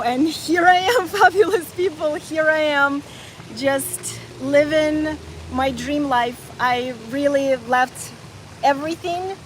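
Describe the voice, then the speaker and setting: A woman's voice: high-pitched, a little hiss or hum, poor audio. A woman in her forties, born in Ukraine and raised in North Carolina, chatting enthusiastically to viewers on a live walking travel stream, often repeating words as she goes. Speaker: travel vlogger. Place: outdoors in a city park and square.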